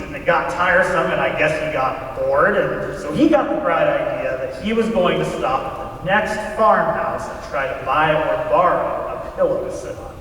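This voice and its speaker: Casting: chatty folksy male storyteller actor, high voice, conversational, heard in a long-reverb hall. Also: a bit bright. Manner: conversational, checks with listeners. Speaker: storyteller in a readers theater. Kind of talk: staged anecdote telling. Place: large hall, live stage performance. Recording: very echoey hall, distant mic, faint noise.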